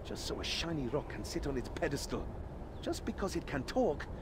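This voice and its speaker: An actor voicing a brash, plucky young adventurer in a video game, with an excitable, theatrical manner. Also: male voice, on the high side, brisk, background noise. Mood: indignant.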